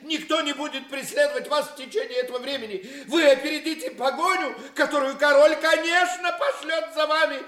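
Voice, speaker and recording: A man's voice: extremely high pitch, a little fast, hardly any pauses, sharp tone. An actor in a radio play voicing a proud, honourable nobleman with passionate outrage and declamatory delivery. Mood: urgent, resolute. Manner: impassioned, declamatory. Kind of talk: Russian radio play acting. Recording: roomy, echoing radio play sound.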